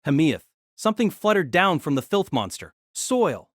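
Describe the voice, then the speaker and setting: A man's voice: a little high, very animated, normal speed, no echo, studio quality. A man narrating a fantasy novel as a dramatic, scripted audio drama.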